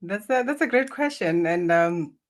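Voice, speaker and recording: A woman's voice: on the low side, expressive, moderate pace. A middle-aged woman from Botswana with a Botswana accent, a healer talking earnestly as a guest over a video call, often laughing. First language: Setswana. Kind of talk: reflective interview talk.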